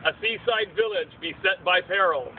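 A storybook bard, played by a man, with a high male voice. He declaims rhyming narration in a measured, solemn way. The recording is tinny with faint noise. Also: natural voice. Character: storybook bard narrator telling a seaside legend. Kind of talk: scripted verse narration for puppet theater.

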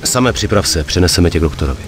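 A loyal gangster of few words, with a very low voice. He is restrained and terse, speaking in a dramatic game cutscene with music behind. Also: lively intonation. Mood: calm.

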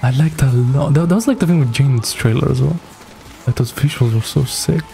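Laid-back young man, mellow and gravelly. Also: medium pitch, a little fast, soft background music. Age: young adult. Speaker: reaction video host. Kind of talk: casual reaction commentary. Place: small indoor room at a computer.

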